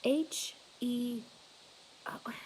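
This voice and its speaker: Female reader as excitable storybook girl, high voice, earnest, eager and insistent. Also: drawn-out words, slight background noise. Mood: amazed, puzzling. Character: earnest, excitable young girl, the cleaner's daughter.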